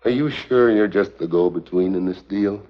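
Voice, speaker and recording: Actor as low-voiced, wry American secret agent. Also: male voice, moderate pace, close to an everyday voice. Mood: probing. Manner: wry, hard-boiled, unruffled. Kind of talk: 1950s television spy drama acting. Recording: dull 1950s TV film soundtrack.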